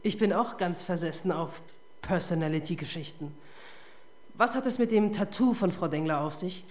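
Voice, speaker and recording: An actress plays a persistent female police detective in a radio crime play. Her voice sits slightly low. She speaks with dry sarcasm and pointed questions. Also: moderate pace, a little mellow, close to an everyday voice. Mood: dry, sarcastic. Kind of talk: German radio crime drama acting.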